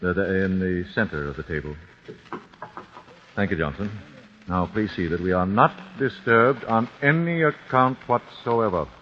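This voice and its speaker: A man's voice: low voice, lively intonation, moderate pace. An actor playing a grim father avenging his son, formal and icily polite, in 1940s radio acting. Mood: calm, courteous. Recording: dull lo-fi old radio recording with faint hiss.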